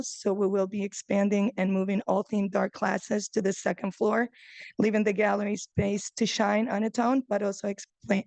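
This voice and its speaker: A woman's voice: medium pitch, gravelly, a little mellow, no echo. A woman in her forties, from Chile, giving a nervous talk about her art gallery, reading from her phone, with many ums and uhs. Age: 40s. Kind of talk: nervous public speech read from a phone. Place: breakfast meeting room.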